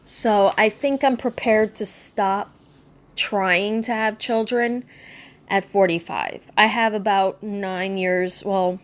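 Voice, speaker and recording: Vlogger, woman, mid-thirties, slow and hesitant, soft-spoken, lots of pauses and fillers. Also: mid-range voice, fairly even intonation. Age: 30s. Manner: slow, halting, subdued and candid, many ums and restarts. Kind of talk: personal vlog talking to camera.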